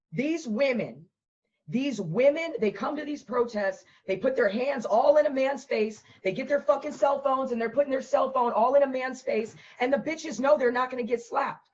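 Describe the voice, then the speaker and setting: A hoarse woman who has lost her voice, hosting a vlog and ranting bluntly with a lot of profanity. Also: on the high side, muffled recording. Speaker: host.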